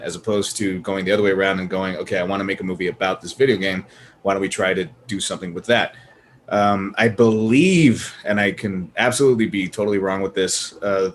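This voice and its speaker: A low-voiced man co-hosting a casual chat about movies. He talks in an animated way, curses freely and slips into mock voices of studio bosses. There is a faint room hum behind him. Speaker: podcast co-host.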